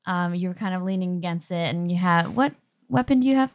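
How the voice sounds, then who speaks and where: A woman's voice: on the low side, a little fast. Woman narrating a tabletop fantasy game. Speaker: game master.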